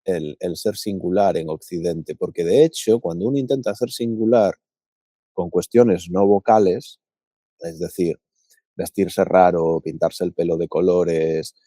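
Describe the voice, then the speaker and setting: Low, dark-voiced man musing aloud. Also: unhurried, no room sound, studio quality. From Paraguay. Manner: thinks aloud with restarts and rhetorical asides. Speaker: podcast co-host. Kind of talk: casual reflective conversation.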